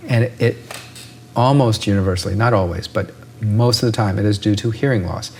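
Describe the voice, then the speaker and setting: A man's voice: on the low side, a little fast, slight background noise. A man, an ear doctor, explaining with analogies in an interview and often checking in with "right?".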